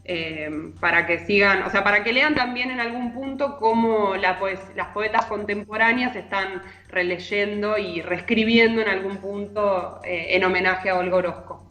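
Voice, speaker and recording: A woman's voice: medium pitch, normal speed, hardly any pauses, sharp tone. A woman with a Rioplatense Argentine accent, talking conversationally as a literary columnist on a radio show. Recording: roomy echo, music underneath.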